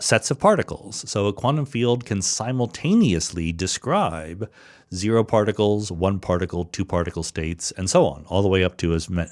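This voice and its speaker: A middle-aged man hosting a solo podcast, answering listener questions in an explanatory way and thinking aloud with small restarts. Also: on the low side. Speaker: podcast host.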